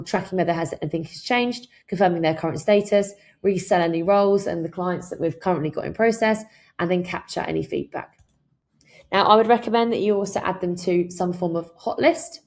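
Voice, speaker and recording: A woman with a fairly low voice and a noticeably creaky, fried edge works as a software trainer. She narrates a tutorial in an instructional manner, explaining one step at a time and dropping in informal asides.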